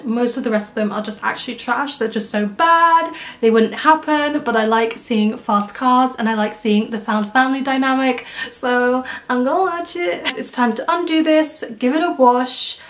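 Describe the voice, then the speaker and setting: A woman's voice: on the high side, fairly bright tone. Chatty young woman vlogging. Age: young adult. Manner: chatty and enthusiastic, laughs easily. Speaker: book vlogger. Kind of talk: casual reading vlog. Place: small room at home, indoors.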